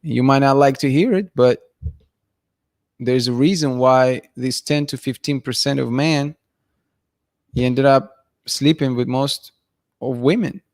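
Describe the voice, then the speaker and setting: A hoarse man in his thirties hosts a livestream from a dim room, bluntly offering opinions as he reads chat comments. He thinks aloud and restarts his sentences. His voice sits in a middle pitch, picked up close and dry by a studio mic. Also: a little slow, long pauses, darkish tone, no room sound. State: losing his voice, clearing throat. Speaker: livestream host. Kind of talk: casual livestream talk reading chat comments. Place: dim room at night, indoors. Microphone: studio mic in front of him.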